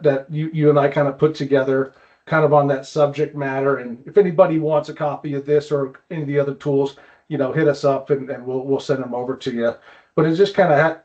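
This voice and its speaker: A man hosting a podcast in a casual interview style, with a halting delivery that often repeats words. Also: on the high side, darkish tone, muffled recording. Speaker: podcast host.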